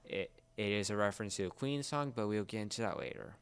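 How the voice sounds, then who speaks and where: A young man co-hosting a casual pop culture discussion, explaining things in a halting, searching way. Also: on the low side, expressive, creaky, smooth. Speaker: podcast co-host.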